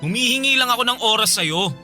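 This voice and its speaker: A man's voice: very high-pitched, lively intonation, quick pace, pushed and bright, soft background music, full character voice. An actor playing a neglected young Filipino boyfriend in a radio drama, whiny and pleading as he protests. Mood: pleading, hurt.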